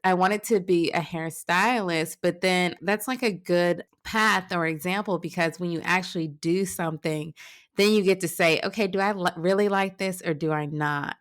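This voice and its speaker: A woman's voice: on the low side, normal speed. A woman hosting an interview, casual and curious in her questions. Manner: casual, curious, laughs easily. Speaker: interview host. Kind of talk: interview conversation.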